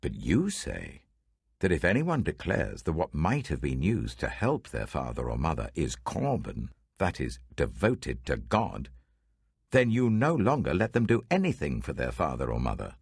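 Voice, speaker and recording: Man acting stern religious teacher, British accent, very low gravelly voice, emphatic swoops, admonishing scripture drama. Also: wildly swooping pitch, moderate pace, dry recording, clean studio sound, full character voice. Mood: rebuking.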